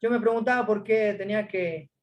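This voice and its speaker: A man's voice: high voice, fairly quick, lo-fi sound. A man from Córdoba with an Argentine accent, telling his story as a guest in a casual interview, hesitating with many fillers. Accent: Argentine Spanish.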